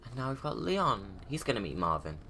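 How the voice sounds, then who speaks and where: A man's voice: medium pitch, big pitch swings, brisk, pushed and bright, a little hiss or hum. A man giving casual, informal livestream commentary, rambling and thinking aloud as he goes. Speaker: video creator giving commentary.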